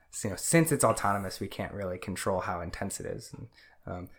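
A man's voice: on the low side, a bit bright. A man talks through a topic as a podcast co-host. His delivery is casual and explanatory, dotted with ums and you-knows. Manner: explanatory, many fillers. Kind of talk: casual chat.

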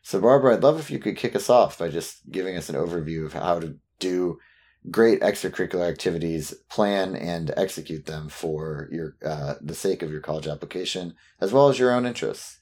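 Deep-voiced man hosting a podcast. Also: animated, a little fast, a little mellow. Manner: upbeat, casual. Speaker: podcast host.

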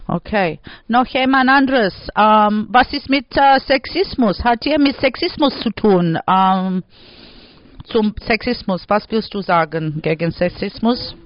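High-voiced man, halting questions. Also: no room sound. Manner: halting, searching for words, speaks slightly broken German. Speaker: workshop leader questioning students. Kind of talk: classroom group discussion. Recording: dull, dry lo-fi recording.

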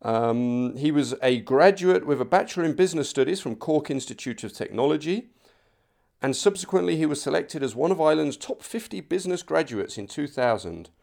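A man's voice: a little high, expressive, a little fast, creaky, darkish tone. A man hosting a podcast, speaking casually and in a matey, informal way as he introduces and interviews. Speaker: podcast host.

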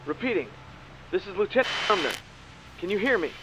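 A man's voice: high-pitched, sing-song, brisk. A man playing a prickly, sarcastic tactical officer who argues with a rival in a science fiction audio drama. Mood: urgent. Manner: sarcastic, argumentative. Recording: clear vehicle-like background noise.

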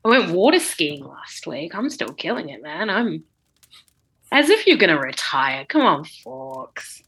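Comedy sketch: oblivious old wizard headmaster woman performing, bright-toned, casual, chatty, stumbles over words. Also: lowish voice, sing-song, measured pace, breathy edge, exaggerated voice. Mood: breezy. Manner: stammering, informal, slangy. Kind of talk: improvised comedy audio sketch.

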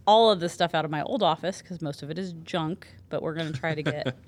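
A woman's voice: deep voice, quick pace. A congested woman co-hosting a casual podcast, telling chatty stories. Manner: chatty, storytelling, playful voices in quotes. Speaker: podcast co-host.

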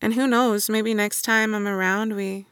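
A woman's voice: medium pitch, fairly even intonation, unhurried, a bit bright, clear, resonant tone, no echo, studio quality. A woman acting in an intimate audio drama as a guarded, wistful young woman back home after years away. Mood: reflective.

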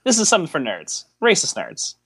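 A man's voice: a little high, wildly swooping pitch, brisk, vocal fry, a bit bright, breathy edge, no echo, clean studio sound. A man co-hosting a podcast in casual chat, joking, teasing and rambling as he goes. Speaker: podcast co-host.

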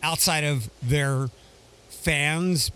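A man, chatting casually as a podcast co-host in a dry, wry, measured way. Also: on the high side, sharp tone, smooth. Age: 40s. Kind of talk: casual chat.

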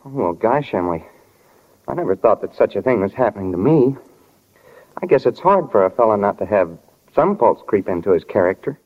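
Low-voiced, bashful teenage boy. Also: very animated, slightly rough, narrow, tinny audio. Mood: sheepish. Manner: halting, sincere, aw-shucks. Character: earnest, bashful small-town teenage boy in love. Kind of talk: 1940s radio drama acting.

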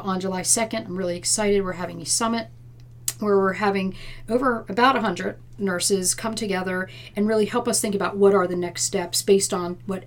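A woman giving earnest, explanatory, conversational answers as an interview guest in a senior nursing leadership role. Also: medium pitch. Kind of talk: conversational interview answers about workplace plans.

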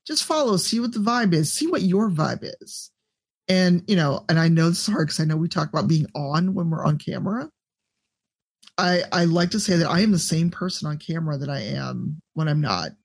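A woman with a deep voice, a podcast guest and streamer giving blunt, profane advice in casual chat. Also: animated, brisk, gravelly, darkish tone, husky.

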